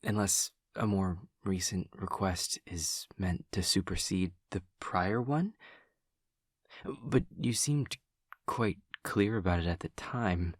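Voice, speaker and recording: An awkward young demon student, acted by a man for an audio roleplay, with a low, husky voice recorded close and dry. He is earnest and painfully literal, choosing formal, precise words and pausing as he over-explains. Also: dry recording. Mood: careful, literal. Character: awkward, earnest, literal-minded male demon classmate and friend. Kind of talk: intimate audio roleplay acting.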